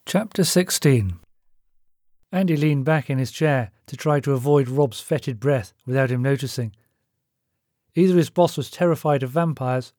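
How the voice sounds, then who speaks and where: A man's voice: medium pitch, warm tone, no room sound, clean studio sound. A man reading scripted fiction as an audiobook narrator.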